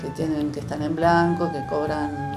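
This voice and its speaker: Low-voiced reflective Argentine woman, roomy sound. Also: level tone, normal speed. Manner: hesitant, searching for words. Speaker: interviewee. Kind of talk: reflective spontaneous interview talk. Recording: roomy sound with music behind. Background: guitar music.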